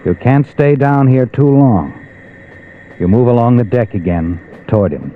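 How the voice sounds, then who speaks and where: An actor playing an ominous, insinuating storyteller, narrating a suspense drama in 1950s radio style. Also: male voice, medium pitch, expressive, measured pace, natural voice. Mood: sinister, urging. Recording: muffled old radio with faint music.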